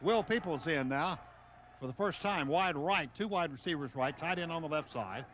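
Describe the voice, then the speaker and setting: A man doing live radio play-by-play of a football game, calling plays in short, excitable phrases. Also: fairly high pitch, normal speed. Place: stadium broadcast booth. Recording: noisy stadium radio broadcast tape. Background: loud stadium ambience.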